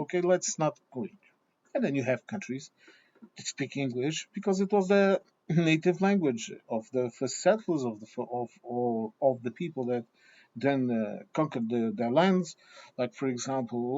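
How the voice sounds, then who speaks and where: Man, english, mid pitch, halting with restarts, slightly dull lo-fi sound. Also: darkish tone. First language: Portuguese and German. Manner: hesitant with many restarts, fillers and repeated "okay?". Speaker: vlogger. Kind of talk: opinion talk to camera.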